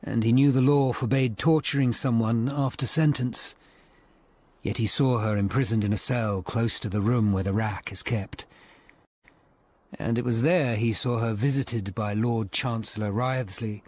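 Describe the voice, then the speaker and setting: A man acting as a grave, observant Tudor lawyer, narrating his investigation in a measured way. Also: medium pitch, fairly even intonation, darkish tone, no room sound, lightly acted. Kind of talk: scripted first-person drama narration.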